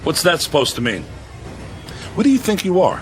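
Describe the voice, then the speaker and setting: A man playing a gruff, impatient American air force major in a radio drama, brusque and commanding. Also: fairly high pitch, huge pitch swings, moderate pace, background noise.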